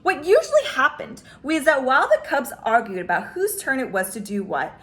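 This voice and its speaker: Female storyteller, high-pitched, lively intonation, expressive picture book read-aloud, drawn-out emphasis. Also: slight background noise.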